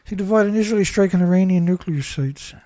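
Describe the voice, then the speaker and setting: A man's voice: high voice, fast, mellow, dry recording. Rambling indignant man, dry sound. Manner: rambling, indignant, many restarts and "right?" tags. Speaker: commentator reading news. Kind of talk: casual monologue reading headlines with commentary.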